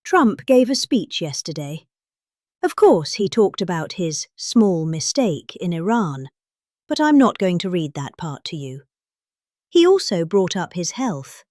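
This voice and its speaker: Animated British woman reading aloud. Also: fairly low pitch, measured pace, no echo, studio quality. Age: middle-aged. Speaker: host reading a political speech aloud. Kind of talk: dramatic read-aloud of a speech transcript.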